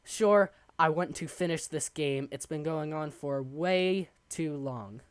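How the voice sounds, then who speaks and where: Hoarse young man streaming, slow and rambling. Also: fairly high pitch, no echo. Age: young adult. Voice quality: raspy from coughing. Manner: rambling, halting, many ums. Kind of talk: casual live-stream chat while building. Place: home desk.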